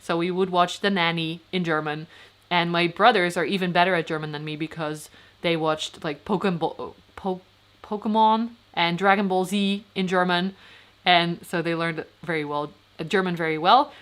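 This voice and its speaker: A woman's voice: on the low side, steady tone, normal speed, a bit bright. A Dutch woman in her thirties, chatting casually on a livestream as she answers comments, rambling, with frequent fillers and restarts. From Netherlands. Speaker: vlogger.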